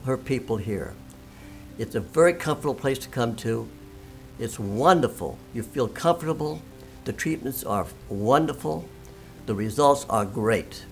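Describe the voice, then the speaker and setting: An elderly man in his nineties gives an unscripted testimonial as a patient. He is plain-spoken and emphatic, with a mid-pitched voice over faint music. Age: 90s.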